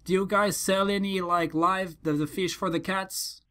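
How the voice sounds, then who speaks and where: Man acting foreign husband, high voice, broken English, naive, halting, repetitive, prank phone call. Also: fairly even intonation, bright, forceful tone. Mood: naive, earnest. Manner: halting, repetitive, mock-innocent questioning.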